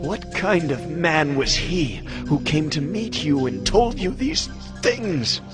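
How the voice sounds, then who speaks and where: Slow, anxious ailing king. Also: on the high side, expressive, music in the background. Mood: alarmed. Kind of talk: dramatized scripture audio acting.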